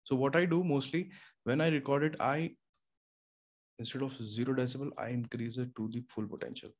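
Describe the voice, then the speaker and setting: A man's voice: medium pitch, fairly even intonation, fairly quick. A young man with an Indian accent, explaining home recording step by step, with many fillers and restarts. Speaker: home studio tutorial host. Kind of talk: casual screen-share tutorial. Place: small home room with air conditioner and fridge nearby. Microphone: studio microphone through an audio interface. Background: faint room hum.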